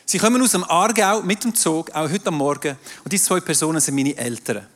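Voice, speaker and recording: A man's voice: fairly high pitch, a little fast, background noise. Male pastor, Swiss German accent, French his first language, emphatic sermon delivery, long hall reverb. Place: large hall with audience. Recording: distant voice in a reverberant hall with crowd.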